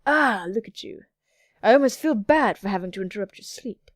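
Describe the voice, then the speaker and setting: A woman's voice: medium pitch, lively intonation, brisk, no room sound, studio quality, natural voice. A woman voicing a suave, teasing male vampire lover in a visual novel game. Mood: teasing, affectionate.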